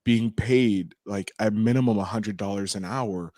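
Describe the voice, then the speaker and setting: A man's voice: lowish voice, a little slow, dry recording, clean studio sound. A man hosting a podcast interview, talking casually and rambling with lots of fillers. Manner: animated, rambling, frequent "like" fillers. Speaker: podcast host.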